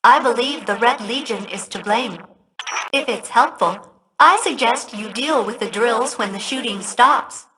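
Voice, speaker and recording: An actress playing a polite, composed mission advisor in a video game, formal and precise. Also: female voice, fairly high pitch, unhurried, bright, forceful tone, slight background noise, low-quality recording. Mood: cautionary.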